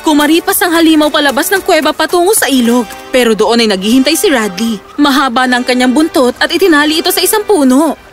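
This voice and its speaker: A woman with a high voice narrates a children's fairy tale. It is scripted storytelling, with soft music running underneath. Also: fast talker.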